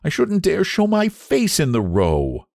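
A man voicing a young gentleman who talks in a sulky, plaintive way in a storybook reading. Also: sits high, wildly swooping pitch, unhurried, gravelly, no room sound, studio quality, pitched above the performer's own voice, full character voice. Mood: dismayed.

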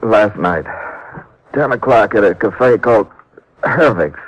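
Low-voiced, wounded crook. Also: male voice, expressive, slow, dry recording. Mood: weak, cooperative. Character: wounded male thief confessing from hospital bed, cooperative. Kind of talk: 1950s radio drama acting. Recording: muffled, dry old radio recording.

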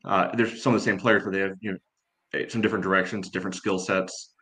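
A man giving casual sports analysis in a halting, measured way, padding his sentences with filler phrases. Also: sits low, fairly even intonation, fairly bright tone. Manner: halting, measured, peppered with "you know". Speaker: sports podcast panelist.

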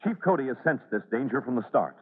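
Man, 1940s radio narrator, emphatic, declamatory, rough-edged voice, phone-like broadcast sound. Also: mid-range voice, very animated, quick pace. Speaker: announcer and narrator. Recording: narrow-band old radio broadcast.

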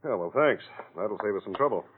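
Shrewd, lowish-voiced police captain. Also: male voice, animated, brisk, slightly rough. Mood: businesslike. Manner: businesslike, probing, matter-of-fact. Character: shrewd, deceptively casual male police captain. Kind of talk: 1940s radio drama acting. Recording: tinny old radio recording.

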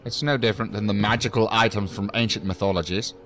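A man's voice: lowish voice, fairly even intonation, fast talker, lightly acted. An actor playing a jaded, condescending male professor in a science fiction audio drama, wordy and dryly sardonic. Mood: sardonic, lecturing.

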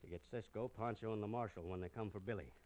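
An actor playing a bossy, scheming banker villain in an old radio western, speaking coldly and deliberately. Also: male voice, on the low side, a little mellow, a little hiss or hum, natural voice. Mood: cold, commanding.

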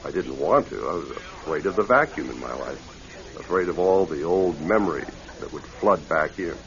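An actor playing an earnest, quietly intense widower who is courting, in a classic radio drama style. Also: male voice, rumbling low, lively intonation, normal speed, creaky, exaggerated voice. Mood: sad, confiding. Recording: dull, lo-fi old radio recording.

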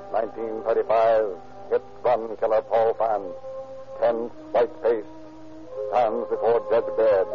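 A man narrating and announcing in a formal, declamatory 1930s radio style. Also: on the low side. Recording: dull lo-fi 1930s radio recording with faint music.

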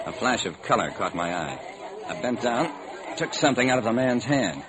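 Casting: male actor as hardboiled American gumshoe narrator, wry, animated pitch swings, vintage radio sound with steady noise. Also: moderate pace, crowd noise, natural voice. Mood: wry, brisk. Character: male private detective narrating his own case, wry and hardboiled. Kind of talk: old-time radio detective drama narration. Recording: noisy old radio recording.

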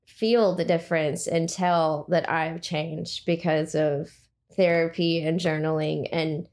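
Young woman, quiet low voice, soft and hesitant. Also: level tone, takes it slowly. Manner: soft-spoken, hesitant, many fillers. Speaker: guest. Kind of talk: casual conversation.

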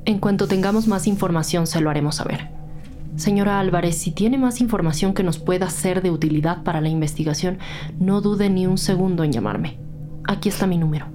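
A woman's voice: lowish voice, fairly quick, music in the background, lightly acted. A woman playing a composed police detective in an audio drama, polite and businesslike in manner. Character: composed female police detective leading a murder investigation.

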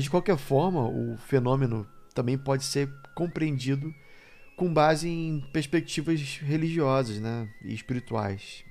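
A man's voice: mid-range voice, a little mellow. A man with a Brazilian accent, hosting a podcast with informal commentary addressed straight to his listeners. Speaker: podcast host.

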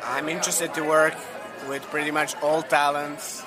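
A man's voice: fairly high pitch, flat delivery, moderate pace, a crowd in the background, poor audio. Young man with a Serbian accent. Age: young adult. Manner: careful, searching for words. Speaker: guest. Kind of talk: casual interview over lunch. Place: hotel restaurant.

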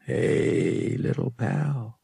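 A man's voice: on the high side, animated, a little slow, vocal fry, a little mellow, slightly rough, no room sound, pitched above the performer's own voice, heavily acted. A man playing a brash, impatient young smuggler pilot in an audiobook, with a blunt, slangy way of talking. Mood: coaxing.

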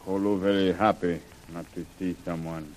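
A cautious, loyal male guide voiced by a man in a vintage radio adventure. He speaks low, in measured, clipped broken English. Faint noise runs under the old recording. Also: fairly even intonation, darkish tone, lightly acted, poor audio. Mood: wary. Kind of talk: 1940s radio serial acting.

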